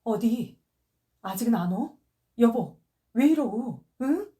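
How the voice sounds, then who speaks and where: Woman doing a shaky scared husband begging his wife to calm down. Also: on the high side, slow, voice pushed up. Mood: pleading. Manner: pleading, trembling voice. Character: anxious husband soothing dying wife.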